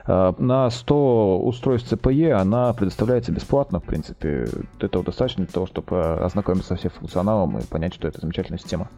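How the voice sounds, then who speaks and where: A man's voice: low-pitched, fast talker, few pauses, mellow, faint music behind. A man speaking as a company representative, presenting and answering questions informally in businesslike, sales-like phrasing. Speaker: company representative, project manager, interview guest.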